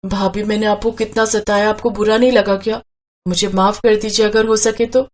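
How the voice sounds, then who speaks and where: A woman's voice: medium pitch, flat delivery, moderate pace, close to an everyday voice. A woman voicing a quarrelsome, anxious young sister in a cartoon story, with a plaintive, emotional delivery. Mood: apologetic.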